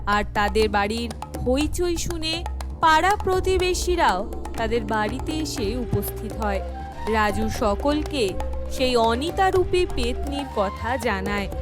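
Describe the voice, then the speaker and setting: A woman narrates a scripted horror cartoon tale. Her voice is high and she takes her time, with music playing clearly underneath.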